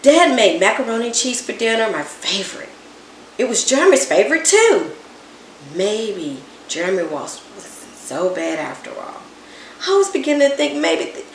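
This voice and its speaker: A woman reading a children's story aloud with expressive, animated first-person storytelling. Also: fairly high pitch. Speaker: storybook reader. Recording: roomy echo, faint background hiss.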